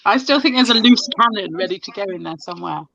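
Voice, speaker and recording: A woman's voice: medium pitch, expressive, quick pace, gravelly, crowd noise. Chatty woman, dull lo-fi sound. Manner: chatty, stop-start storytelling with many restarts. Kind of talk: casual video chat.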